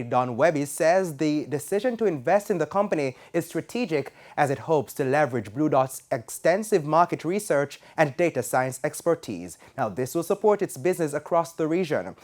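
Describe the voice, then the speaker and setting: A man with a Jamaican accent reading scripted business news in a formal, measured broadcast delivery. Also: a little high. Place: TV news studio.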